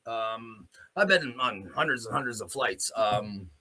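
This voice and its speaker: A man's voice: fairly low pitch, animated, a little slow, bright, forceful tone, low-quality recording. A man hosting a solo commentary show, delivering a blunt, off-the-cuff opinionated monologue with free use of swearing.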